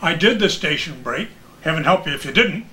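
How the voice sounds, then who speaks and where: An older man with a high, bright voice tells casual anecdotes from his broadcasting days. He is animated and acts out remembered exchanges, with clear background noise in the room. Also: fairly quick. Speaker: retired radio broadcaster recalling his career.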